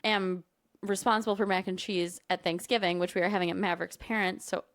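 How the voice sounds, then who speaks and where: A woman with a Midwestern American accent, co-hosting a casual chat podcast, lively and laughing often. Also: medium pitch, a little fast, no echo, studio quality. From Michigan, USA. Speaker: podcast co-host.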